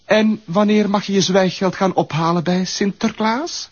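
An actor with a Flemish accent playing a sly, greedy blackmailer who teases with mocking endearments in a radio play. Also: male voice, high voice, fairly even intonation, moderate pace. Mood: taunting. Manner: teasing, sing-song, mocking endearments. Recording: dull lo-fi radio recording.

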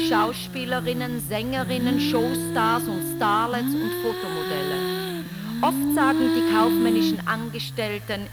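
An actress playing a mock-earnest, cruelly bitter female narrator in an experimental radio drama, reciting in a declamatory, deliberate, ironic way. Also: sits high. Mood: solemn, conspiratorial.

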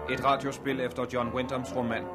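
A man's voice: mid-range voice, level tone. A man reading formal scripted closing credits as a 1960s radio announcer. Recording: old radio broadcast over loud music.

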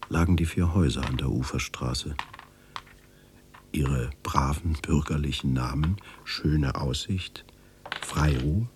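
An actor playing a grown man who soberly and deliberately recalls his wartime childhood in a radio drama. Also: very deep voice, noisy background. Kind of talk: scripted radio drama narration.

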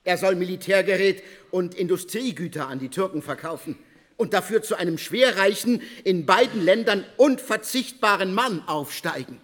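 Radio drama narrator, male, slightly high voice, theatrical emphasis, long hall reverb. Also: lively intonation, moderate pace, a bit bright.

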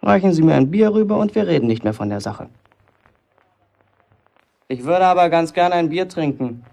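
An actor playing a defiant young apprentice bricklayer in a German radio play, lecturing sarcastically like a pompous prosecutor with rhetorical questions. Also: male voice, on the high side, big pitch swings, moderate pace, gravelly, warm tone.

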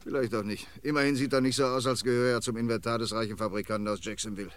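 A man playing a shrewd, dryly ironic police commissioner in an old radio crime drama, talking in a measured, lecturing way. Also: mid-range voice, fairly even intonation, lightly acted. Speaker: police inspector.

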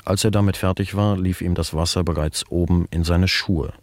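A man's voice: deep voice, fairly even intonation, normal speed, dry recording. A man narrating a radio play in a formal, matter-of-fact manner.

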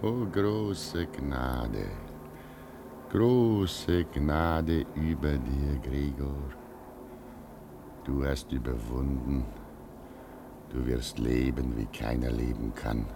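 A man's voice: rumbling low, extremely slow, gravelly, long pauses, reverberant, noisy background, exaggerated voice. An actor playing a frail, exalted old man in a German radio play, declaiming solemnly and rapturously. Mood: solemn, moved.

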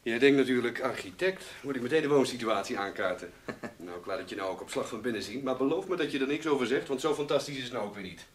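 A man's voice: medium pitch, fast talker, natural voice. A man playing a suave, flirtatious host in a Dutch radio play, with a playful, sociable manner. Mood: charming. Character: suave, flirtatious man hosting lunch at home.

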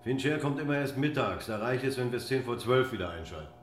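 An actor playing a cynical, cruel veteran hospital orderly in a radio drama, callous and sneering. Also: male voice, expressive, quick pace, lightly acted. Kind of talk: German radio drama acting. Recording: echoey room with low hum.

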